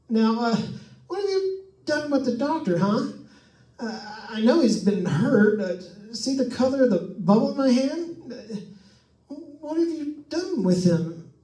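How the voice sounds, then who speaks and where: Man playing jittery haunted-house radio host, slow stammering delivery, forced cheer, old-time radio suspense, roomy echo, far from mic. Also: extremely high pitch, sing-song, dark tone, full character voice. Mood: hysterical, unhinged. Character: nervous, chatty male radio host alone in haunted house. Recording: echoey room, distant microphone.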